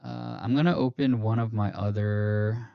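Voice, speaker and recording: A man's voice: lowish voice, fairly even intonation, moderate pace, creaky, smooth, no room sound. A man hosting a finance livestream, talking casually with many fillers and self-corrections, his streaming voice a little robotic. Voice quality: slightly robotic-sounding stream voice. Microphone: streaming mic. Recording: dull lo-fi stream audio, dry.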